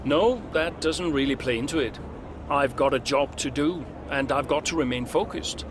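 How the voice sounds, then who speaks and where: An actor playing a middle-aged, focused veteran racing driver near retirement, speaking in a measured, dry way in a video game. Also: male voice, a little high, background noise, close to an everyday voice. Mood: measured, determined. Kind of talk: cinematic game dialogue, interview answers.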